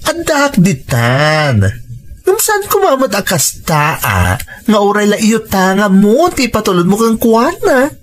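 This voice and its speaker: A woman's voice: medium pitch, big pitch swings, a little slow. An actress playing a frank, caring female friend who gives firm advice, with an Ilocano accent, in an audio drama. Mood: concerned, pleading. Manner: admonishing, questioning, pleading. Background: faint music.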